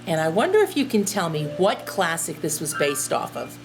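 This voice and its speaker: A woman teacher reading a storybook aloud and chatting directly to young listeners in an expressive way. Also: fairly low pitch, moderate pace, soft background music, low-quality recording. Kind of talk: children's storybook read-aloud and chat.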